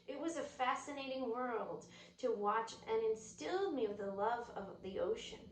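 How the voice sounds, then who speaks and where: A woman reading a children's book aloud with expressive, storytelling delivery. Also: a little high. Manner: expressive, drops to whispers at quiet moments. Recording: roomy echo, distant mic, faint noise.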